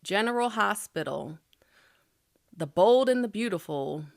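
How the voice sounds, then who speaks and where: A woman's voice: medium pitch, expressive, measured pace, gravelly, no room sound, studio quality. A middle-aged woman giving YouTube tips in a casual, chatty way, with light laughs and loose restarts. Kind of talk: casual spoken tutorial.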